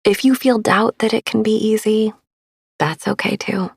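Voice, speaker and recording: A woman podcast host narrating a sleep meditation in a soft, breathy, soothing and gentle manner. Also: medium pitch, fairly even intonation, moderate pace, no echo, studio quality. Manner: soothing, hushed, gentle delivery.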